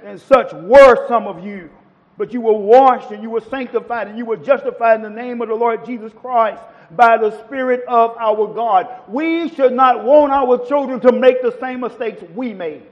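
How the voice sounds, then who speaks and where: A man's voice: very high-pitched, moderate pace, in a large hall, dull audio. A man preaching a sermon to a congregation, quoting scripture with rising and falling emphasis. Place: church.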